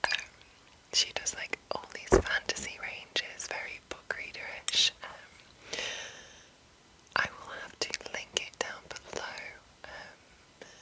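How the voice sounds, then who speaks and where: A woman hosting ASMR, chatting and reading aloud in a soft, breathy, unhurried whisper. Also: low-quality recording. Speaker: ASMR host.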